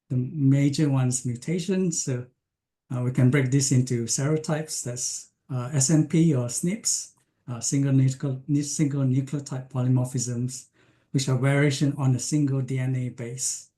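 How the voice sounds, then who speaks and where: A man from Malaysia giving an online science lecture, speaking with frequent ums, pauses and restarts. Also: medium pitch, steady tone, dark tone. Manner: hesitant, many ums and restarts.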